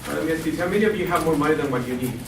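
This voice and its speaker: A man in his forties runs a workshop in a lecture room, talking chattily and quizzing the audience in a slightly high voice. He was recorded by a camera far away, so his voice sounds echoey and there is a lot of noise. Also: very fast, vocal fry. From Guatemala and Costa Rica. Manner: chatty, asks the audience questions. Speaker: financial literacy educator. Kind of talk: classroom workshop presentation.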